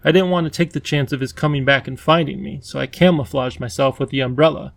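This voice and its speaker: A man's voice: medium pitch, steady tone, fast, gravelly, no room sound, close to an everyday voice. A man voicing a kindly, fussy older male professor who speaks in a flustered, old-fashioned way.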